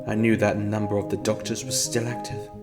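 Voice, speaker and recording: A man's voice: on the low side, flat delivery, over music, natural voice. A man playing a scheming, self-important male time-meddler in a fan audio drama, speaking with sardonic impatience. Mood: smug. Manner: sardonic and impatient, slow deliberate delivery.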